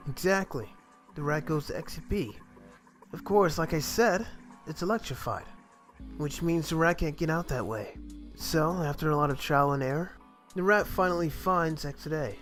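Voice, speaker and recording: A blunt, slangy young captive in a game dub, acted by a man. The voice sits a bit high, with a sardonic edge and soft music underneath. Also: moderate pace, lightly acted. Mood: explaining. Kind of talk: amateur visual novel game dub acting.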